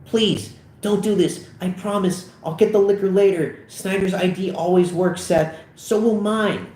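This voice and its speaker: Man as a high-pitched anxious nerdy teenage boy. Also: unhurried, a little mellow. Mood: panicked pleading. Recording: echoey room.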